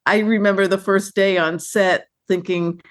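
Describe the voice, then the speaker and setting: A woman with a mid-pitched voice is being interviewed about her work. She tells her stories in a lively, chatty way, and she often restarts sentences and fills pauses with "you know.". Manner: animated, chatty storytelling with fillers and restarts. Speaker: filmmaker guest. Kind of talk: conversational interview.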